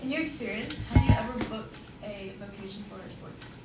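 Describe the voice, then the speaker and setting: A woman interviewing an election candidate, reading out scenario questions in a brisk, procedural way. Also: mid-range voice, music in the background. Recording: distant mic in an echoey, very noisy room.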